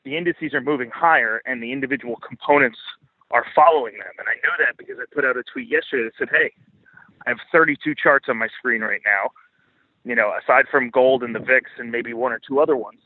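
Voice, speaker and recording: A man's voice: mid-range voice, quick pace, no room sound. A man giving heated, opinionated interview commentary as a podcast guest on financial markets, blunt, emphatic and freely swearing. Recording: band-limited phone-like line, dry.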